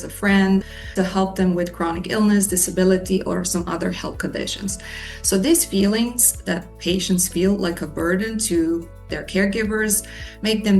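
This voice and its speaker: A woman in her thirties from Croatia with a Croatian accent, an assistant professor earnestly explaining her research in a Q&A, with faint music behind. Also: mid-range voice. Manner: earnest, explanatory, lists points. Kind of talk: scripted Q&A monologue.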